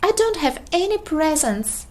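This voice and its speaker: A polite, downhearted little storybook man, voiced by a woman. He speaks earnestly in a high, lilting voice, suited to a tale for toddlers. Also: big pitch swings, measured pace. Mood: flustered. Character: polite, downhearted poor male storybook character. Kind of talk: children's storybook character voice.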